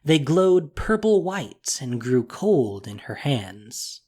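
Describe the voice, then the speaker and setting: A man reading a fantasy story aloud in a measured, expressive way. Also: a little high. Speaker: narrator. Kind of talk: storybook narration.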